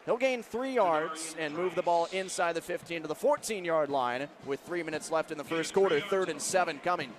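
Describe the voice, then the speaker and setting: An American man calling live football play-by-play on the radio, describing each move and building to excited calls. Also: a little high, normal speed, hardly any pauses, a crowd in the background. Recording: clear stadium noise behind broadcast commentary. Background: outdoor stadium ambience.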